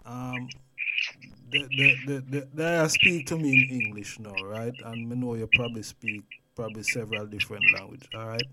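Halting male radio host over loud noise. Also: medium pitch. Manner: halting, stumbles and repeats words. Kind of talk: casual radio interview.

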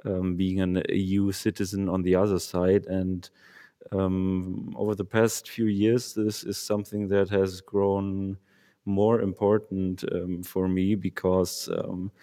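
A man's voice: deep voice, little pitch movement, slow, dark tone. A young man from Germany talking in a casual panel discussion, hesitant, with many fillers and restarts. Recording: long reverb.

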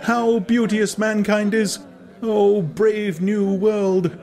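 A man voicing a singing inner voice that declaims lofty verse in a drawn-out way, in an audiobook. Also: high voice, little pitch movement, darkish tone, voice pushed up. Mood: mocking. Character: mocking, singing voice quoting lofty verse in memory.

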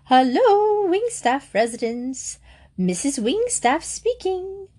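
A woman's voice: sits high, very animated, slow, warm tone, pitched above the performer's own voice, heavily acted. A woman doing a smug sing-song neighbor lady.

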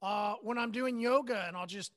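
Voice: medium pitch, moderate pace, fairly bright tone. A podcast host in casual chat, asking probing questions with lots of fillers like "you know" and "right".

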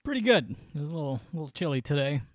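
A man's voice: fairly high pitch, big pitch swings, rapid-fire, no room sound, studio quality. A man co-hosting a podcast from home, teasing and laughing often as he tells stories in casual chat. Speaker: podcast co-host. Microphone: home podcast microphone.

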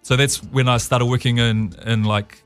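A relaxed man in his thirties with a New Zealand accent, chatting as a radio guest in a mid-pitched voice. He chuckles often and restarts his sentences as he goes. Faint music hums behind him in the studio. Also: moderate pace, clear, resonant tone. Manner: easygoing, chuckling often, with many fillers and restarts. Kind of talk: casual radio interview.

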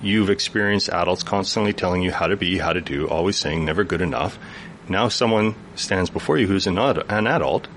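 A man speaks earnestly as a guest in a radio studio, his voice very low and deep. He explains at length, patient and thoughtful. A faint ambient hum sits behind him. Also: fairly quick, a bit bright. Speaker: youth worker guest. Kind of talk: radio interview conversation.

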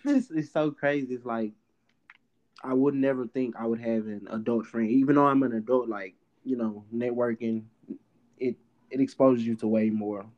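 A man of twenty co-hosting a podcast, playful and jokey, often jumping in with quick reactions. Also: medium pitch, steady tone, muffled recording. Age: 20s. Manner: playful, jokey, chimes in a lot. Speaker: podcast co-host. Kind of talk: casual chat.

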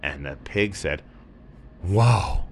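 A man's voice: low voice, expressive, slow, a bit bright. A man narrating a bedtime story for children in simple, emphatic phrases.

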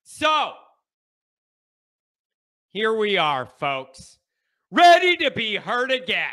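Congested male show host, high voice, unhurried, emphatic repeats. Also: clean tone. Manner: emphatic, repeats points with bursts of shouting. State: stuffed-up nasal congestion. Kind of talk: sports talk monologue.

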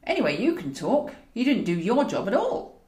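An elderly scheming woman, put on by a woman narrator for an audiobook. She is bossy and sardonic, with a lively, swinging pitch. The room rings a little around her. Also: normal speed, reverberant. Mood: incredulous. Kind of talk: character dialogue in an audiobook.

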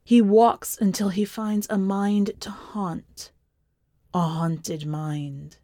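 A woman voicing an eerie, fond, haunted wife in a story, speaking in a lyrical, breathy incantation that dips toward whispers. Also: mid-range voice, fairly even intonation, slow, mellow, no room sound, clean studio sound, natural voice. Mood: eerie, teasing.

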